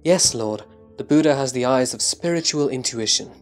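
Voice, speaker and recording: A man playing a reverent disciple who answers his master in respectful, measured scripture recitation. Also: mid-range voice, soft background music, close to an everyday voice. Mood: reverent, assured.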